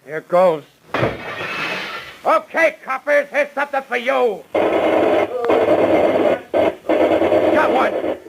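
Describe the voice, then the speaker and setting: Callous gangster, crackly old radio recording. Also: male voice, very high-pitched, sing-song, takes it slowly, vocal fry, heavily acted. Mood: defiant, sneering. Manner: hard-boiled slangy gangster talk. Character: callous male gangster gunman, tough and contemptuous. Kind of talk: 1940s-50s radio crime drama acting.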